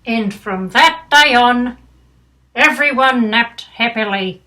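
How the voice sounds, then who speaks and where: An older woman reads a children's storybook at a very unhurried pace. Her voice is bright and fairly high, and she drops in doting, grandmotherly asides as she goes. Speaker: storybook reader. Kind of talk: children's bedtime story read aloud with chatty asides.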